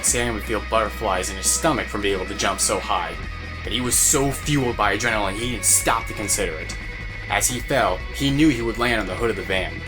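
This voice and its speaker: A man's voice: fairly low pitch, poor audio. A man narrating an audio drama as a scripted story. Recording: music bed behind voice.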